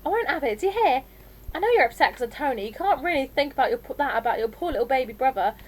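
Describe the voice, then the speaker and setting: A woman's voice: high voice, expressive, fast talker, pitched above the performer's own voice. A woman voicing a stubborn, bossy, middle-aged working-class mum in an audiobook, with a scolding, colloquial manner. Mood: cross. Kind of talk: audiobook character voice.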